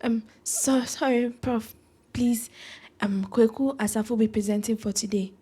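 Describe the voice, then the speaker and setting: A young female medical student with a Ghanaian accent, acted by a woman in an audio drama. Her voice is fairly high, with a husky, breathy edge. She comes across as a dutiful junior. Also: a little slow, mellow. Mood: nervous, deferential. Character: young female medical student, chiding peers, deferential to seniors. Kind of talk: scripted audio drama acting.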